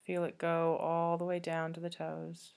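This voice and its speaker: Low, even-toned woman guiding meditation. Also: vocal fry, clean tone. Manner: calm, gentle, soothing instruction with long pauses. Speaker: yoga nidra teacher. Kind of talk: guided meditation.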